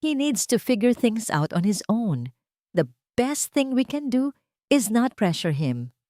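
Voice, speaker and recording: A woman's voice: fairly high pitch, big pitch swings, measured pace, mellow, dry recording, studio quality, voice pushed up. A woman voicing a cold, businesslike wealthy mother who speaks in a cool, clipped, firm way.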